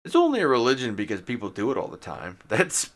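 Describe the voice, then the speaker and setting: A man's voice: mid-range voice, wildly swooping pitch, fast talker, fairly bright tone. Irreverent, swearing man riffing casually. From Detroit, USA. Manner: profane, irreverent, laughs often. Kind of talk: casual rambling monologue.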